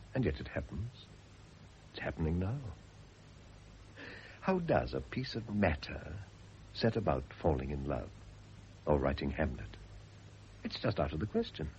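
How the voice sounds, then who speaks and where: An actor playing a brooding, philosophical, self-absorbed husband in a vintage radio drama, speaking in a literary, declamatory way. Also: male voice, rumbling low, very animated, measured pace, gravelly, pauses often, a little mellow, heavily acted. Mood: ardent, musing. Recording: dull, hissy vintage radio recording.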